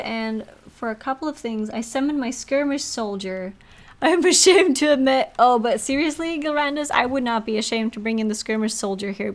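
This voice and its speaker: High-voiced, chatty woman streaming a game. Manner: chatty, giggly, expressive. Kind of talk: casual live game-stream chat, reading out chat and quest text.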